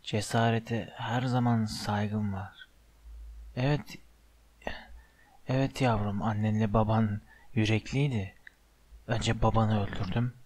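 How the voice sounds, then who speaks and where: A man voicing a sinister, bodiless dark wizard in an audiobook, with a slow, menacing, hissing hush. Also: on the low side, pitched below the performer's own voice. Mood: mocking, cold. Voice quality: hushed, breathy hiss.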